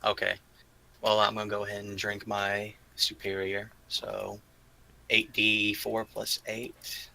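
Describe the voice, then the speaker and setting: A man plays a tabletop roleplaying game and talks casually through his moves. His voice sits a little low and has a bright, forceful tone. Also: monotone, slow, gravelly.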